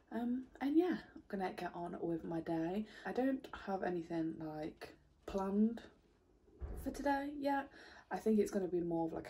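A woman's voice: lowish voice, warm tone. A young woman, chatting casually to camera in her bedroom, rambling with lots of fillers and laughs. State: slightly croaky morning voice. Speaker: vlogger. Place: bedroom at home, indoors. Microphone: camera on a desk in front.

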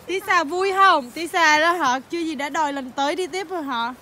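A cheerful woman with a high voice, chatting from behind a phone camera as she films her family at a campsite. She switches easily between Vietnamese and English. Steady outdoor noise runs under the recording. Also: a little fast. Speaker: family vlogger filming a camping trip. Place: outdoor campsite among trees.

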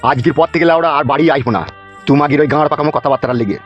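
A man plays a foul-mouthed cartoon husband and dad who talks at breakneck speed in a slightly high voice. He blusters and argues, defensive and exasperated. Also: very fast, dull audio, faint music behind, lightly acted. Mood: exasperated quarrelling. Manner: blustering, argumentative, swearing. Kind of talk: Bengali comedy cartoon dubbing.

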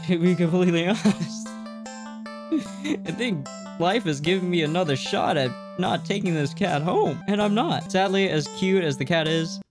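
A man in his twenties doing a let's play, reading game text aloud and reacting casually, sometimes stumbling over words, close on a desk mic. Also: a little high. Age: 20s. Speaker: video game streamer. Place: small room indoors at a gaming setup. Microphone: close desk microphone, headset worn. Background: game music.

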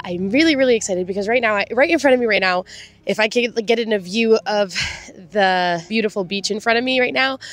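A woman's voice: medium pitch, fairly bright tone. Congested young woman preaching outdoors. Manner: chatty, laughs often, earnest preaching. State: congested from sinus infection. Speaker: faith vlogger and host. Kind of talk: casual devotional talk and prayer. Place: park bench outdoors. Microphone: handheld clip-on mic held near mouth.

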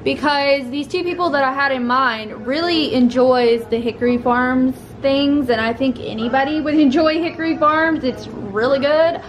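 A young woman filming a casual vlog at home, chatting and rambling with fillers and soft laughs, camera held close to her face. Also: high voice, normal speed, hardly any pauses, slight background noise, low-quality recording. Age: young adult. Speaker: vlogger. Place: living room at home. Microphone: handheld camera close to face.

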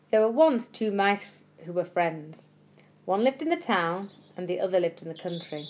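A woman narrating scripted bedtime stories for children in a measured, expressive storytelling manner. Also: mid-range voice, lo-fi sound.

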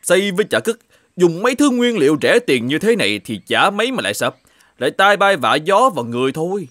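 A man playing a grumpy, fault-finding middle-aged shoemaker in an animated fairy tale, with a scolding, exclamatory manner. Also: high voice, brisk, no room sound. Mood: scornful, bossy.

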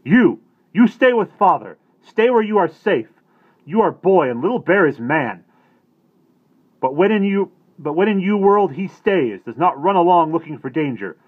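A man voicing a fierce, proud male warrior who scolds loudly in broken, clipped phrases. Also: a little high, animated, measured pace, voice pushed up. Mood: scolding. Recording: tinny phone-like audio.

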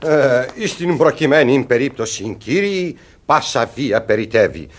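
An actor playing a pedantic police commissioner in a radio comedy, speaking with pompous, archaic legal formality. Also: male voice, mid-range voice, lively intonation, lightly acted. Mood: officious. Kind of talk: theatrical radio comedy acting.

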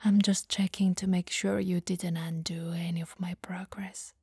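A woman playing an elegant, dominant vampire lady who teases flirtatiously yet caringly in an ASMR roleplay, softly and breathily. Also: lowish voice, little pitch movement, no room sound, clean studio sound. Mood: teasing, seductive. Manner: soft, breathy, slow teasing delivery, often dropping to whispers.

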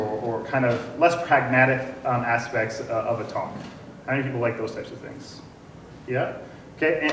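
Chatty man speaking in an echoey hall. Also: mid-range voice. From Canada. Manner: friendly, chatty, many ums and uhs, asks the audience questions. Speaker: conference speaker. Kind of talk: casual conference talk. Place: large dim conference room. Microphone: room mic from far off. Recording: echoey room audio from far off, noisy.

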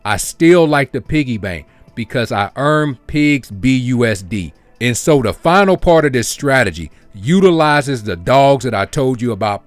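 Man, channel host, screen-share walkthrough, steady explainer, dry close sound, faint background music. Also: medium pitch, no echo. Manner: steady, explanatory, walks through figures step by step.

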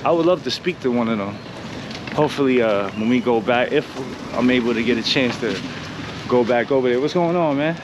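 A man's voice: medium pitch, fairly quick. An American man in middle age chatting casually in slangy street talk as he hosts a walking vlog. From United States. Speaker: vlogger and host. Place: outdoors walking along a city street. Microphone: handheld vlog camera at arm's length. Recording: noisy outdoor street with traffic.

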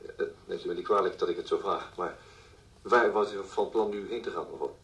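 A man's voice: low voice, steady tone, a little fast, a bit bright. An actor playing a polite, tactful policeman questioning a witness in a radio drama. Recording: roomy, distant from the microphone.

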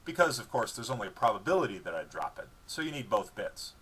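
A man's voice: fairly low pitch, sing-song, fast, a bit bright, husky. A man reading his own book dramatically, moving between narration and dialogue in a wry, explanatory way.

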